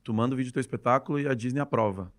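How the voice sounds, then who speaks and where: A man with a gaúcho Brazilian accent speaks at a quick clip and spills one story into the next. He is a guest on a podcast, talking about his dance work with open enthusiasm and a voice at an ordinary middle pitch. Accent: Gaúcho Brazilian Portuguese. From Rio Grande do Sul, Brazil. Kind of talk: casual interview chat.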